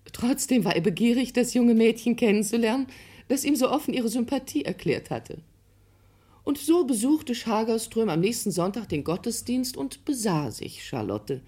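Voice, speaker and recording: A storyteller among friends. The voice is husky, mid-pitched and very animated, narrating expressively and without hurry in a radio drama. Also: female voice.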